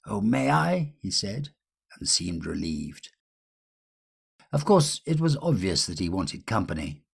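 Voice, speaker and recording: A man reading a literary ghost story in a measured, expressive way with dramatic pauses. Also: deep voice, creaky, slightly rough. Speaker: audiobook reader.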